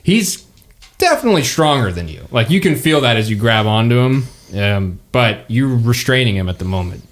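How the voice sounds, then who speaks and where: A man narrating as game master of a tabletop game, relaxed and quick to laugh. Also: medium pitch. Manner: relaxed, laughs often, hesitant fillers.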